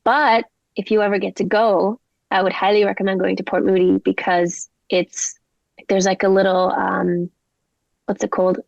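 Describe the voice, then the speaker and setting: A woman's voice: mid-range voice, level tone, normal speed, creaky, a little mellow, no echo, lo-fi sound. A young woman with a mix of Canadian and English accent, a singer chatting as a guest in a rambling, casual way. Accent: mixed Canadian and English. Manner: chatty, rambling, lots of likes and ums. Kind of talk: casual interview chat.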